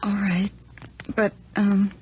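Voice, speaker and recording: A woman's voice: mid-range voice, level tone, slow pace, darkish tone, close to an everyday voice. An actress playing a frightened young female student in a radio drama, guarded yet tender, hesitant in her speech. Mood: weary, soft. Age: young adult. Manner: hesitant, breathy murmurs and urgent pleas. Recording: noisy, clicky old radio drama recording.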